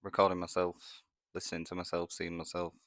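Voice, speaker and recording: A man's voice: low voice, monotone, a little fast, fairly bright tone, no echo, clean studio sound. A man in his thirties with a Yorkshire accent, talking hesitantly and self-consciously into a small microphone in his first vlog. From England. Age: 30s. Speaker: vlogger. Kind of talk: nervous first vlog to camera.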